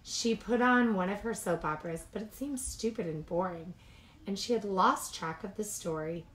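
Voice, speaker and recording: A woman's voice: mid-range voice, normal speed, rough recording. Woman reading picture books aloud to children. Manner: animated, chatty asides between passages. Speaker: childcare storytime reader.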